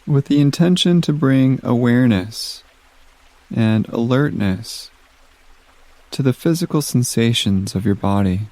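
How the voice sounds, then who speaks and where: A man leading a guided meditation in a gentle, soothing manner, giving soft instructions with long pauses. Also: mid-range voice.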